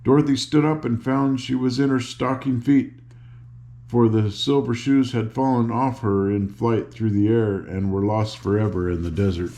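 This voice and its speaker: An older man reading a children's book aloud in an unhurried, informal, grandfatherly way, with a gravelly voice and casual asides. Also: medium pitch, steady tone, dark tone. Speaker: storybook reader and host.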